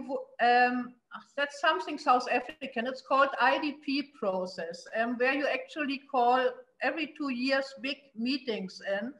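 A woman's voice: high voice, a bit bright. German-accented woman on a roomy video call. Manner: earnest, emphatic, frequent restarts and fillers. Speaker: city investment promotion official. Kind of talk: panel discussion on a video call. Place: indoor room at home. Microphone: laptop webcam on a video call.

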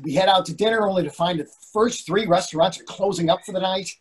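An actor playing an agreeable but dryly impatient tourist diner in a readers theatre comedy. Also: male voice, high voice, fast talker, a little hiss or hum, lightly acted. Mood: weary complaint. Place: indoors on a video call. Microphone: video call microphone.

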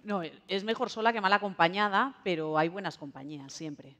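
A woman's voice: mid-range voice, animated, moderate pace, fairly bright tone, in a large hall. A young woman from Spain with a Spanish accent, a journalist guest on a talk show, chatty and self-mocking. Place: TV studio set.

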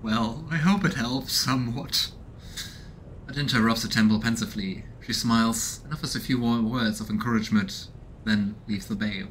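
A man's voice: medium pitch, normal speed, a bit bright, slight background noise, rough recording. A man in his thirties doing casual game commentary and reading narration aloud as he streams. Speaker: let's play streamer voicing a game. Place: small room indoors at home. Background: game music.

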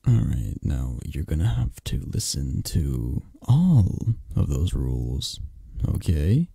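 A man acts out a controlling yet protective mafia boss boyfriend for an ASMR romance roleplay. His voice is low and husky, and he takes his time over each phrase. He coaxes and commands softly, close to the listener. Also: big pitch swings, no room sound, exaggerated voice. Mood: possessive, firm. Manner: unhurried, commanding, coaxing, close and soft.

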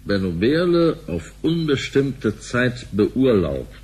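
An actor playing a middle-aged, distrustful banker in a sci-fi radio play, arguing in a wry manner. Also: male voice, mid-range voice, sing-song, slow pace, a little mellow. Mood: disgruntled. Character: disgruntled, distrustful sidelined banker husband in sci-fi future. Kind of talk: radio drama acting.

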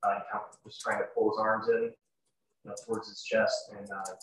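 A young man giving formal courtroom testimony as a sheriff's deputy, halting and matter-of-fact, over a video call. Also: fairly low pitch, level tone, a bit bright. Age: young adult. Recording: echoey courtroom, distant mic, faint noise.

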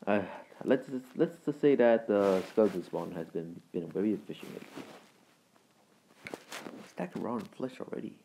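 Low-voiced man, casual gameplay commentary. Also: warm tone, a little hiss or hum, poor audio. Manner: rambling, halting, informal. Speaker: gaming video creator and host.

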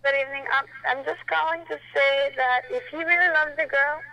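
High, creaky woman on the phone. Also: fairly even intonation, fairly quick. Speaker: radio show caller. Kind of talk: casual opinion on a phone-in. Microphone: telephone call. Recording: faintly noisy telephone line.

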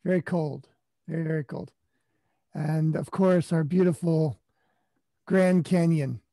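A man's voice: fairly high pitch, fairly even intonation, a little slow, creaky, no echo, studio quality. A middle-aged man at home on a webcam, enthusiastically sharing photos of places he loves. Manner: enthusiastic, warm about nature. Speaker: workshop participant. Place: small room at home. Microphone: webcam close up.

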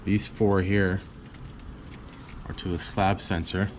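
A man's voice: low voice, little pitch movement, slow pace, slight background noise. A young man, an HVAC installer, casually walking through his work step by step in plain, informal talk. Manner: plain-spoken, informal, pointing things out step by step. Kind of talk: casual how-to walkthrough. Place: basement utility room.

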